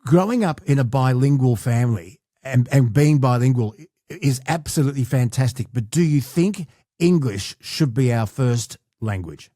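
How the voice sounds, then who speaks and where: A man's voice: medium pitch, expressive, moderate pace, warm tone, no echo, studio quality. A man hosting an interview, who offers his own opinions modestly in conversation. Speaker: podcast host.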